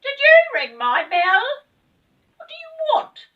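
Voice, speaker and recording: A woman's voice: very high voice, huge pitch swings, takes it slowly, distant mic, narrow, tinny audio, voice pushed up, heavily acted. A woman voicing a small, breezy female elf in a children's storybook, calling out in a sing-song way. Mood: curious, welcoming.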